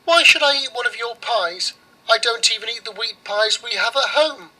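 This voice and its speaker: A man's voice: very high-pitched, normal speed, bright, forceful tone, voice pushed up, exaggerated voice, low-quality recording. A man voicing an innocent, fussy young girl in a storybook, with an insistent childlike manner. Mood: fussy refusal. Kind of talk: storybook character voice.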